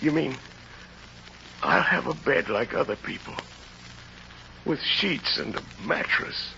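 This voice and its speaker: An actor in an old radio drama playing a desperate, bitter, hungry released convict who speaks in intense, halting, repeated phrases. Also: male voice, mid-range voice, lively intonation, gravelly, sharp tone, exaggerated voice. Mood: stunned, disbelieving. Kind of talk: 1930s-40s radio drama acting. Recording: dull, noisy old radio recording.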